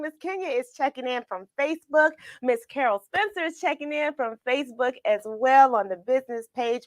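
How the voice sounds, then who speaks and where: A woman with a slightly high voice hosts a children's story livestream. She talks casually and cheerfully, addressing her audience directly as a teacher would. The sound is dry, with very little room echo. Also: bright, forceful tone. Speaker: host of a children's book read-along livestream. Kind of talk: casual livestream chat with viewers.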